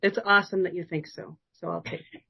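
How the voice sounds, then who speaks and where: A woman's voice: fairly low pitch, fairly even intonation, fast, gravelly, slightly rough, highs cut off. A woman interviewing in a friendly, casual, conversational way, with the admiring manner of a fan. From New York, United States. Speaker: podcast interviewer.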